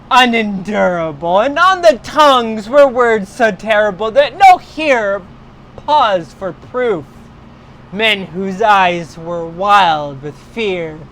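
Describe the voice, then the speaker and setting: A woman narrating a horror story in a theatrical, deliberate way, swelling in intensity as a livestream storyteller. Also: mid-range voice, a bit bright, slight background noise, low-quality recording. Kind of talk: dramatic horror story reading.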